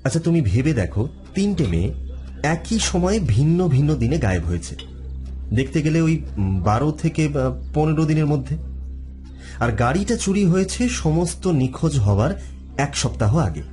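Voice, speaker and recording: A man's voice: a little high, very animated, normal speed, long pauses, warm tone, soft background music. A man playing a witty, food-loving detective in an audio drama, talking conversationally and thinking aloud. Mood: pondering, suspicious. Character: witty food-loving married male detective, shrewd and probing.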